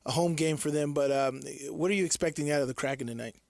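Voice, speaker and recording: A man as a sports radio host, asking casual questions in a halting, meandering way. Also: on the high side, a little mellow, dry recording, studio quality.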